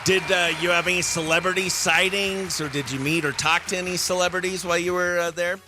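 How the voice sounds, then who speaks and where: A man's voice: high-pitched, fairly even intonation, a little fast, background noise. A man hosting a radio morning show in a casual, chatty, jokey way. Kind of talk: casual phone-in chat and show patter.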